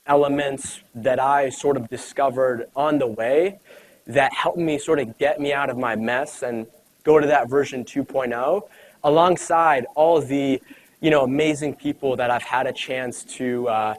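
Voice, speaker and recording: Young guy giving a motivational talk, chatty, lots of "you know"s, mid-pitched voice. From United States. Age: 20s. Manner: casual, conversational, many fillers and "you know". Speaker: speaker at an event. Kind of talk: motivational talk to a live audience.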